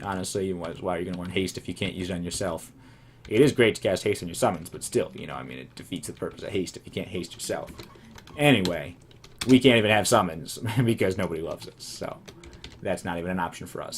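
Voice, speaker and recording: A man casually commenting on his own gameplay, rambling and self-amused, his voice going hoarse. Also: sits low, slight background noise. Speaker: let's play commentator.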